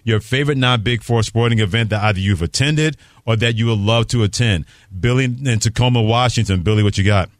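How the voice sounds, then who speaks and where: A man's voice: on the low side, a little fast, hardly any pauses, no room sound, studio quality. A man in his fifties or older from New York City, hosting live sports talk radio and chatting with a co-host and callers. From New York City, USA.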